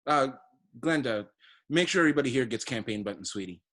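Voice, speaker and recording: A man playing a pompous, evasive political candidate, halting and self-repeating, who swells into grand slogans. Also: medium pitch, lively intonation, fairly bright tone. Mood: smug, evasive. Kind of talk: theatrical musical comedy acting.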